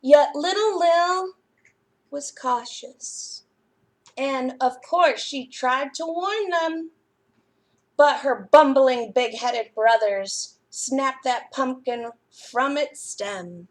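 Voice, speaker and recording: Slow, high-voiced woman reading to kids. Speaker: children's library storyteller. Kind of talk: read-aloud story time for young children.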